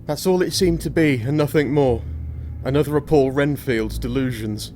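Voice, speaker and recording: An actor playing an earnest, protective young English gentleman, formal and sombre, in an audio drama. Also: mid-range voice, normal speed, a little hiss or hum, close to an everyday voice. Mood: ominous narration. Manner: formal, old-fashioned, sombre. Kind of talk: theatrical audio drama acting with narration.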